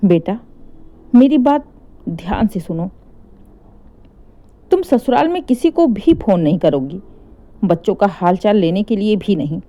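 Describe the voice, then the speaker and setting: A woman's voice: mid-range voice, animated, measured pace, long pauses, mellow, close to an everyday voice. A woman voicing a firm, protective older mother advising her married daughter in a family story. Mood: firm, concerned.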